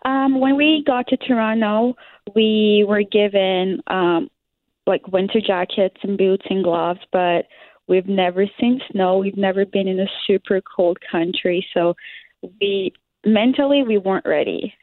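A woman's voice: mid-range voice, a little slow, dry recording. Young Liberian woman, hesitant interviewee, tinny lo-fi line. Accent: Liberian English. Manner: hesitant, many fillers, laughs often. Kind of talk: interview answers. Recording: dry, phone-like sound.

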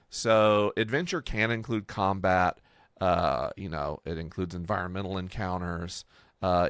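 A man's voice: fairly low pitch, no echo, clean studio sound. A man explaining a fictional world in a casual, enthusiastic discussion as a host and creator.

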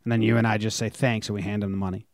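A man's voice: fairly low pitch, level tone, very fast, no echo, studio quality. A man co-hosting a sports podcast, talking casually and informally as a fan. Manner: informal, conversational. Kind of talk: casual chat, fan discussion.

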